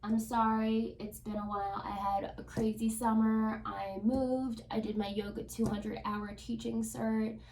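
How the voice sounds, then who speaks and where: A woman's voice: on the high side, little pitch movement, unhurried, hardly any pauses, a little mellow, far from the mic, a little hiss or hum. Congested woman in an echoey room. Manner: chatty, with frequent ums and likes. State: congested from a cold. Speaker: tarot reader. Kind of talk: casual tarot reading vlog.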